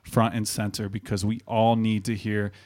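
A man's voice: on the low side, level tone, moderate pace, darkish tone. A man hosting a podcast in a studio, opening the show and teasing his guest playfully. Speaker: podcast host. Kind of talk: podcast intro and casual banter.

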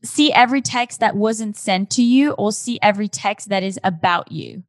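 A young woman hosts a casual chat, breezily moving from one quick question to the next. Her voice is mid-pitched. The recording is close and dry. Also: dry recording. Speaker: podcast host.

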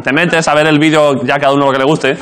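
A man from Jaén with an Andalusian accent, hosting a late-night talk show and teasing his guest with quick jokes. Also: a little high, flat delivery. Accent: Andalusian Spanish. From Jaén, Spain. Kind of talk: joking late-night interview. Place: TV studio with live audience. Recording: loud studio audience and noise behind.

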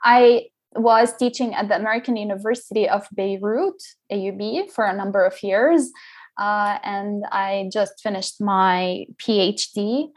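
A middle-aged woman who grew up in Liberia, speaking as an interview guest. Her pitch sits in an ordinary middle range, and she talks reflectively, pausing with "uh" and restarting her phrases as she thinks. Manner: reflective, many fillers and restarts. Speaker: guest scholar. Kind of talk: interview conversation.

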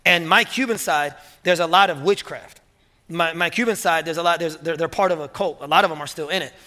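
A man preaching a sermon as pastor to his church, energetic and emphatic, often repeating words as he builds to strong points. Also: on the high side, fairly quick. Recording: long hall reverb.

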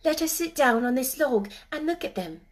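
A high, girlish storybook voice put on by a woman narrator. She is a shy but eager child. Her delivery is earnest and full of questioning. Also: lively intonation, moderate pace. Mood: eager, curious.

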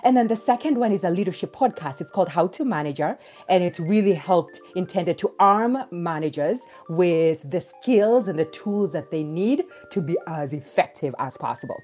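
A woman's voice: on the low side, animated, moderate pace, few pauses, a little mellow. A woman from Kenya speaking as a panelist, explaining things in an articulate, earnest way. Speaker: podcast host, panelist. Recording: live audio-app chat, faint music.

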